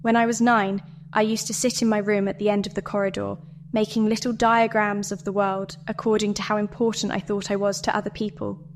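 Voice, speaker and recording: A woman delivering a scripted guided meditation and dramatic monologue in a measured, deliberate way with pauses. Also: mid-range voice, level tone, a little hiss or hum. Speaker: meditation narrator.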